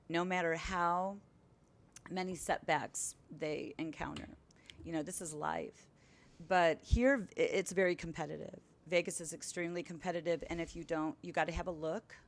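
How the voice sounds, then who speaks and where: A middle-aged woman sits in as a guest and talks in a casual, emphatic way, with a lively push behind her points. Her voice sits a little low for a woman. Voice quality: powerful voice. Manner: animated, emphatic storytelling. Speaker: singer and entertainer, guest. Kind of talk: casual interview chat.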